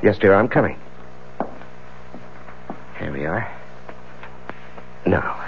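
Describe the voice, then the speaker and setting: An actor playing a troubled, evasive married lawyer in a 1970s radio drama, speaking hesitantly. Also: male voice, sits low, lively intonation, creaky, noisy background, heavily acted. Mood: tender, anxious. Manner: hesitant, halting. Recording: muffled 1970s radio recording, music behind.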